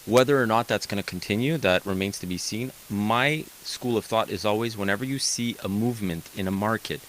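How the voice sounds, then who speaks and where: A man hosting a call-in radio advice show, talking in an energetic, emphatic way and checking in with "okay?". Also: on the low side, a little fast, slight background noise, poor audio. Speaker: radio host, mortgage broker.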